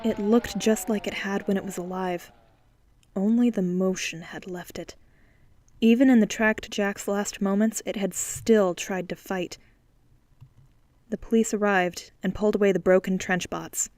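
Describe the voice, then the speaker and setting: A woman's voice: medium pitch, unhurried, no echo. Woman narrating a noir audiobook. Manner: steady, clear storytelling. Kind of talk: scripted narration.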